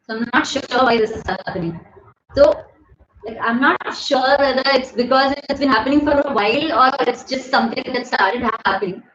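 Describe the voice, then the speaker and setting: Indian English woman, 30s, high and loud, casual livestream host; echoey bedroom, dull phone audio. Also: a little fast, slight background noise. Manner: chatty, laughs often, thanks viewers by name. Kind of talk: casual live Q&A. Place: bedroom at home. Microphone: phone camera at desk distance.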